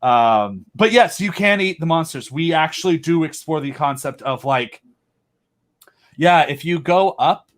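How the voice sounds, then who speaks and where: A man chatting as a guest on a video call, excitedly rambling as a fan about his monster designs. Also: on the high side, unhurried. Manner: enthusiastic, rambling fan talk. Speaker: podcast host and audio drama creator. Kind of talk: casual video chat interview.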